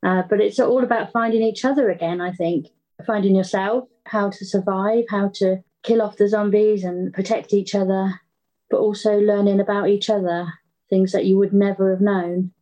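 A woman speaks informally as a guest in a remote interview. Her voice is mid-pitched and mellow, with a relaxed, dark tone. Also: normal speed, muffled recording. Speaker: interview guest, audio drama creator. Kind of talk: casual interview chat. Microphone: remote video call.

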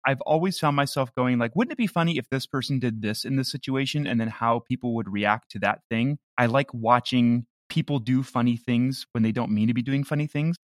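A man talks casually as a guest in an interview, with a self-deprecating, conversational style. His voice is medium in pitch and recorded very dry, without room sound. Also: no room sound. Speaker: podcast creator, interview guest.

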